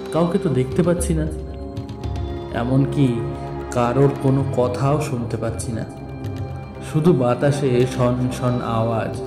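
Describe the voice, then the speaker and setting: Guy reading a dramatic audio story, really slow n deliberate, music behind him, echoey. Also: medium pitch. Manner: theatrical, deliberate, with drawn-out pauses. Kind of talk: dramatic first-person literary story narration. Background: background music.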